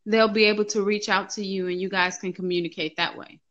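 A woman hosts a casual podcast interview, asking upbeat and encouraging questions. Her tone is bright and forward at a middle pitch. The recording sounds dull and lo-fi. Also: steady tone, a little fast.

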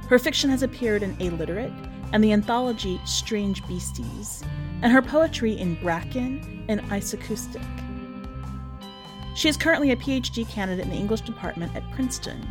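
A woman reading a scripted podcast introduction as the host. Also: medium pitch. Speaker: podcast host and story reader.

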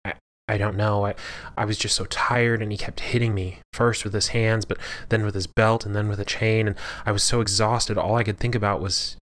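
Casting: man to play ancient towering demon for audio fiction; deep, hesitant, quiet delivery, burdened and conflicted. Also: steady tone, lightly acted. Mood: tearful, exhausted. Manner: halting, quiet. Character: anguished seven-foot centuries-old male demon, conflicted, confessing.

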